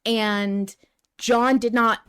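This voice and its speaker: A woman hosting a casual fan discussion of an audio drama, talking in an animated way and repeating phrases for emphasis. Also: on the high side, extremely slow, a little hiss or hum. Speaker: podcast host.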